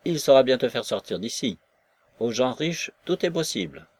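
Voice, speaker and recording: A man's voice: medium pitch, big pitch swings, normal speed, darkish tone, breathy edge, no room sound. A man voicing a worldly woman prisoner who talks in a coaxing, knowing way.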